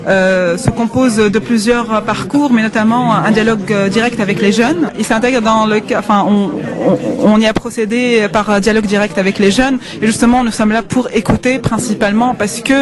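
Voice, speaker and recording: A woman answering a radio interview as an official guest, formal and hesitant with many fillers. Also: mid-range voice.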